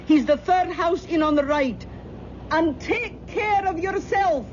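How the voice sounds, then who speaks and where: An actress playing a caring, practical older Scottish aunt in a radio drama, speaking in a deliberate, folksy way. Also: female voice, extremely high pitch. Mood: brisk, practical. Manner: deliberate, folksy, hesitant then firm. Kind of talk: 1970s radio drama acting. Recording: dull, noisy old radio recording.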